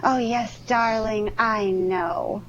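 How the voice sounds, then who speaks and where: A woman's voice: on the high side, steady tone, slow. A woman playing an eager, questioning accomplice of a thief in a 1940s-style radio crime drama. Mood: doubtful, questioning. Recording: dull, faintly noisy radio recording.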